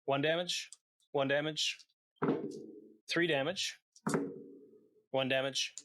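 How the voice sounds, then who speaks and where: A man hosts a tabletop game as game master. His voice sits a little high and has a bright, forward edge. He explains rules and creatures in a casual, halting way. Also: fairly even intonation. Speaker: game master of a tabletop role-playing podcast.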